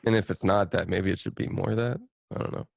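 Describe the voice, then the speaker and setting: A man's voice: low voice, fairly even intonation, fairly quick, vocal fry, dry recording. A man co-hosting a podcast in casual chat, hesitant and rambling, repeating himself and swearing casually. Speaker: podcast co-host.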